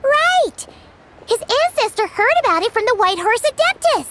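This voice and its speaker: A woman voicing a tiny, childlike fairy companion in a video game, squeaky, chirpy and inquisitive. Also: sing-song, normal speed, background noise. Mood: curious, excited. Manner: squeaky, refers to herself by name. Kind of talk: animated video game acting.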